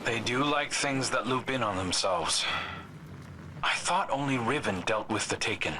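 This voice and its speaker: An actor playing an earnest, troubled scout in a video game cutscene, thoughtful and wry like a campfire storyteller. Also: male voice, medium pitch, lively intonation, measured pace, pushed and bright, slight background noise, poor audio. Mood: wry, regretful.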